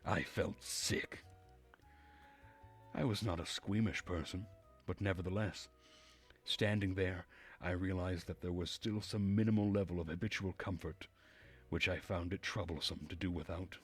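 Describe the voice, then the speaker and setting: A man with a husky voice reading a visual novel aloud as narration while streaming the game. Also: low voice, fairly even intonation, moderate pace, soft background music.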